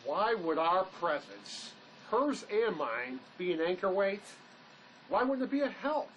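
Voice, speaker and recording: An actor playing a guarded, stubbornly protective fugitive in a drama, with a terse, questioning way of talking. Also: male voice, high-pitched, big pitch swings, a little slow, a bit bright, muffled recording, slight background noise, heavily acted. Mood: defensive. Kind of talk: dramatic dialogue reading.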